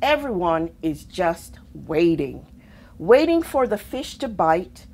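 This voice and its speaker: Slow, animated woman reading a storybook. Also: fairly low pitch. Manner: deliberate, expressive phrasing with pauses. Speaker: storybook reader, army officer. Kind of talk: children's picture book read-aloud.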